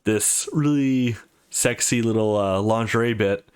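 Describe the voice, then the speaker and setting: A man's voice: fairly low pitch, measured pace, smooth. A man giving casual let's play commentary on a story game.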